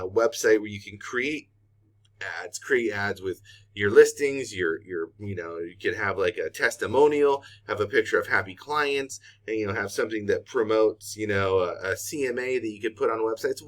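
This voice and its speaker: A middle-aged man, walking through a software tutorial in a casual, unscripted way as a trainer. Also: on the low side, moderate pace. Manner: casual, unscripted, thinking aloud while clicking. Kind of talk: informal screen-share tutorial.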